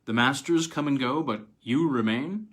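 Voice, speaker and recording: A man's voice: mid-range voice, normal speed, fairly bright tone, close to an everyday voice. A man reading a story voices a curious, wry young American villa buyer who questions and argues. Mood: questioning.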